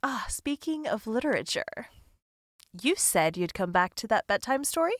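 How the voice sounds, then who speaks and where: A woman's voice: a little high, big pitch swings, normal speed. An actress playing a curious, playfully skeptical human woman in an audio drama, rambling and wry in a naturalistic way. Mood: playful, eager.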